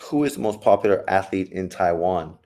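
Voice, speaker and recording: A man's voice: sits low, animated, a little fast, dark tone. A man hosting a live stream who calls a boxing fight as it happens and answers chat questions in a blunt, profane, joking way. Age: adult, old enough to say he got old. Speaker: livestream host reacting to a boxing fight. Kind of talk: casual live commentary, reading chat.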